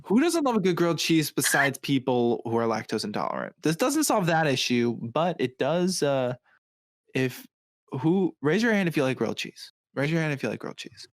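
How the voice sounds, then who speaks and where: A man's voice: on the high side, wildly swooping pitch, normal speed, dry recording, studio quality. A man hosting a casual podcast with friends, playful and teasing, hamming up mock-dramatic lines. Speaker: podcast host. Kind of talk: casual chat.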